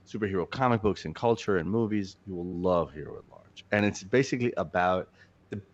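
A man's voice: low voice, brisk. A man, a filmmaker guest, chatting animatedly on a podcast, swearing and joking. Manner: animated, swears, jokes, gets choked up. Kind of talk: casual podcast chat. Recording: dull lo-fi, dry.

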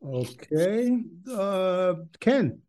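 A male host moderates casually with a high, mellow voice. His intonation moves up and down in a lively way. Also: drawn-out words.

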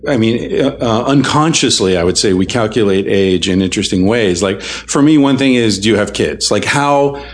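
A man's voice: fairly low pitch, lively intonation, moderate pace, slight background noise. A man hosting a casual group chat, speaking in a wry, agreeable way.

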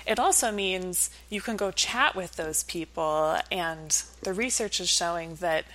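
A woman's voice: fairly low pitch, a little slow, a bit bright, poor audio. A woman speaking as a research professor and interview guest, explaining things conversationally.

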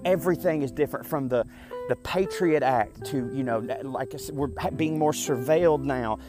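A man in his thirties with a Southern US accent, talking casually in a chatty, profane, rambling way as a podcast host. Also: a little high. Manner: chatty, profane, self-deprecating, frequent fillers.

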